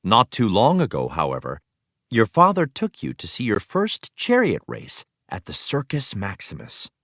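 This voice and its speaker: A man reading an educational text aloud as a teacher, with expressive storytelling emphasis. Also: fairly low pitch, normal speed, no room sound, studio quality. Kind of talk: scripted educational read-aloud narration.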